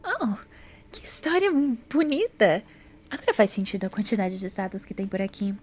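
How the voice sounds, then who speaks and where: Woman voicing a lively, curious lady time traveler. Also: medium pitch, moderate pace. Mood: politely admiring. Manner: eager, polite, animated. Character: cheerful, energetic, curious female time-traveling doctor. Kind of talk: audio drama acting.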